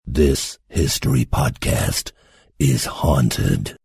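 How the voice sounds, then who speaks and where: Deep gravelly male announcer over music. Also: very slow. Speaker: show intro announcer. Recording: music bed under voice.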